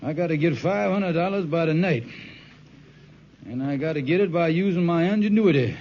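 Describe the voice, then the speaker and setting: A man's voice: a little high, expressive, normal speed. An actor playing a scheming, broke, blustering married man who stalls and wheedles in ungrammatical talk on an old radio sitcom. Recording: muffled, noisy old radio recording.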